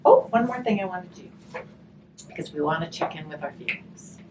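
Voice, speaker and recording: A woman speaking softly and reflectively in an unhurried way, as if leading a gentle group session and storytime. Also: lowish voice, expressive. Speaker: hospice volunteer leading a grief session. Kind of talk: gentle spoken session with storybook read-aloud. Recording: distant microphone, clearly noisy room ambience.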